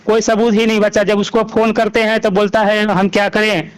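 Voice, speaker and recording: Male interviewee, high voice, Bhojpuri-belt Hindi accent, conversational, emphatic, often repeats points and checks the listener follows. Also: little pitch movement, normal speed, smooth, poor audio. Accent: Bhojpuri-Magahi belt Hindi, eastern India. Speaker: singer being interviewed.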